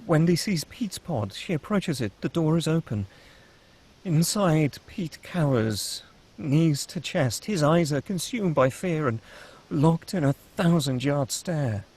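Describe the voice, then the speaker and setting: A man's voice: fairly high pitch, expressive, takes it slowly, a little mellow, husky. A man reading stage directions as the narrator of a screenplay, in a dramatic scripted style.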